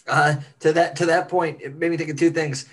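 A man casually telling anecdotes in a halting, amused way on a video call. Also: a little high, level tone. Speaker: amateur actor. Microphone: computer on a video call.

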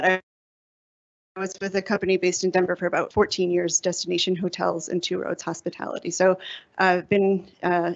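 A woman moderating a panel in a conference room, laying out the session plan step by step. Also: on the low side. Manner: organized, explains the plan step by step. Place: hotel conference room with an audience. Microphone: handheld microphone. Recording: dull lo-fi sound.

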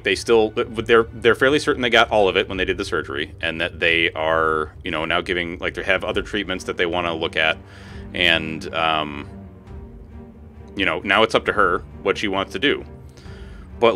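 A man's voice: low-pitched, pushed and bright. A man in his thirties chatting casually on a gaming livestream, informal and slangy with frequent swearing. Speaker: game streamer. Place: home room. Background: music.

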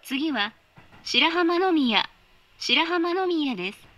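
A woman giving polite, formal automated train announcements in a measured voice. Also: sits high, lively intonation, bright, forceful tone, muffled recording.

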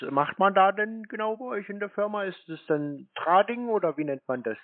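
A man with a husky voice in a prank phone conversation, answering in a deadpan, naive manner. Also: high voice, moderate pace, no echo. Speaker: prankster answering scam calls. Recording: tinny, dry phone-band sound.

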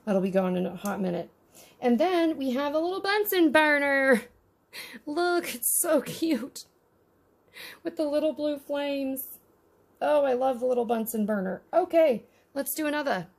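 High-voiced woman with a creaky edge. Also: lively intonation, a little slow. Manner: gushing, easily delighted. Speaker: host of a miniature toy unboxing. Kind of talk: casual unboxing commentary.

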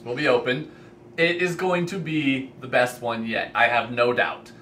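A man's voice: medium pitch, a little slow. A man in his twenties or thirties making a casual, earnest and enthusiastic announcement to camera as a vlog host. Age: 20s to 30s. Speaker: host, reptile expo organizer. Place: indoor room lined with reptile racks. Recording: steady ambient room noise.

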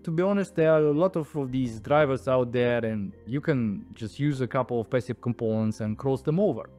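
Man, medium pitch, halting emphatic delivery, product explanation, lapel mic, background music. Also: warm tone. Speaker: audio equipment designer. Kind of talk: explaining products to camera, semi-scripted talk. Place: indoor audio showroom. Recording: music playing behind the voice.

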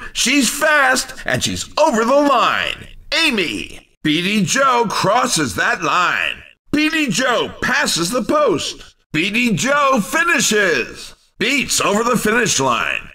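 A man voicing an upbeat, excitable racing video game announcer with a punchy, showy, cheerful delivery. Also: high-pitched, distant mic, heavily acted. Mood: excited. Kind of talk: video game announcing, race commentary and shop greetings.

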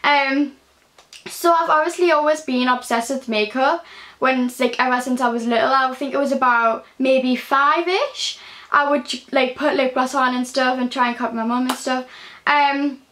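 High-voiced Geordie teen girl vlogging. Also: moderate pace, fairly bright tone. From Newcastle, England. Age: early teens. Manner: chatty, many ums and likes, gestures while talking. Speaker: beauty vlogger. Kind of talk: casual Q&A vlog. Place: small bedroom indoors. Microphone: phone camera at close range.

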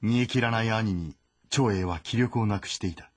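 A man's voice: fairly low pitch, normal speed, husky, dry recording. A man narrating a historical game story in a dramatic, scripted style.

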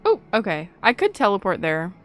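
Young female gaming creator: chatty, playful, gushing, mid-pitched, music underneath. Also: wildly swooping pitch, brisk. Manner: gushing, self-interrupting, playful. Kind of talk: casual chatty game playthrough commentary. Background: soft game music.

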